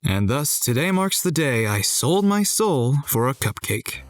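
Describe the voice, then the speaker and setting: A man voicing a wry, apathetic high school boy who narrates his school life in the first person for a visual novel dub. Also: sing-song, a little slow, soft background music. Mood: dry, wry. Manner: wry, resigned.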